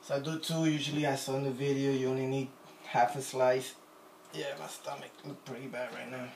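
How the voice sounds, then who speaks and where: A man's voice: mid-range voice, level tone, a little fast, gravelly, noisy background. A man in his thirties with a Puerto Rican accent, chatting in a rambling, self-deprecating way while making a drink in his kitchen, filmed on a phone camera on the counter. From Puerto Rico. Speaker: vlogger. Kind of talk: casual chat while cooking. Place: home kitchen, indoors. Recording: echoey kitchen with faint ambience.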